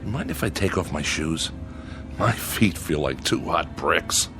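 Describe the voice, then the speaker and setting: Brash young sailor, lively pitch. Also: male voice, a little slow, over music. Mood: easygoing, friendly. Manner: folksy, slangy, blunt. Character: brash, easygoing young sailor hitchhiking back to his ship. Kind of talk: radio drama acting.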